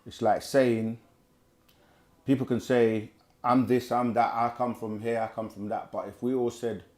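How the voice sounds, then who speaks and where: A man's voice: mid-range voice, flat delivery, a little slow, a little mellow. A man hosting a live question-and-answer stream, casually reading viewer questions aloud and answering them at length. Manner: conversational, repeats himself, reads chat aloud.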